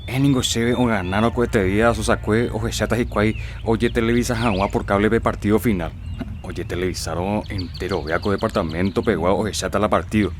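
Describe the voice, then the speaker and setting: An actor playing a chatty father and football fan in a radio drama, talking in a relaxed conversational way. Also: on the low side, a little hiss or hum, close to an everyday voice. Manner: conversational, mixing Guarani and Spanish.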